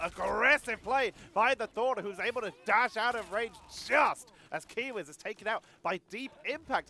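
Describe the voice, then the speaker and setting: A man's voice: sits high, bright, forceful tone, a little hiss or hum. A man with a cold-stuffed nasal voice giving solo play-by-play commentary on an esports match, chatty and thinking aloud between calls. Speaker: esports caster. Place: home setup.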